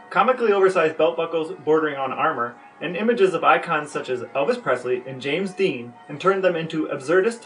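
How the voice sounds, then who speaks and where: A man's voice: a little high, fairly bright tone, low-quality recording. A man hosting a podcast, delivering semi-scripted narration and questions in a wry, tongue-in-cheek way. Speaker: podcast host.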